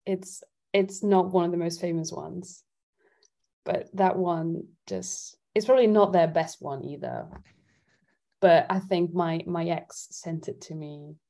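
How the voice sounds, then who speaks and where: A woman's voice: fairly low pitch, creaky, dark tone. A woman from Italy, a guest singer-songwriter chatting casually in a giggly, thoughtful way. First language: Italian. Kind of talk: casual chat.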